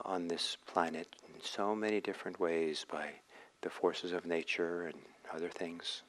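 A man leading a guided meditation as a teacher, speaking in a measured, reflective way with frequent pauses. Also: deep voice.

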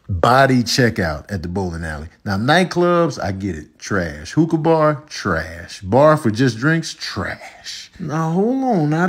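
American guy riffing casually on his opinions, kinda husky, pitch goes all over, lots of slang. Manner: conversational slang, repeats phrases for emphasis. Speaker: comedic video commentator. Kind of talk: casual comedic opinion monologue.

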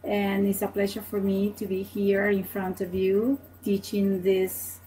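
A middle-aged woman from Venezuela with a Venezuelan Spanish accent, a native Spanish speaker teaching an online language lesson in a halting, hesitant way. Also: mid-range voice, completely flat delivery, warm tone, slight background noise, low-quality recording. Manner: halting, hesitant, repeats words. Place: small home office, indoors. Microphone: computer webcam at desk distance.

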